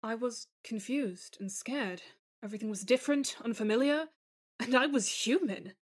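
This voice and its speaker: A woman's voice: fairly high pitch, normal speed, close to an everyday voice. A woman voicing a tender woman reunited with her lost lover in a fan fiction podcast, speaking in careful, formal phrases full of feeling. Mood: shaken, hesitant. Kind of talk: dramatised fan fiction reading.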